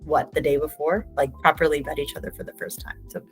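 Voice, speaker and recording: Lower-voiced woman telling stories animatedly. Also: fast talker, slightly rough, soft background music. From Houston, Texas. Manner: animated storytelling, chatty. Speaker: dancer guest. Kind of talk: casual conversation.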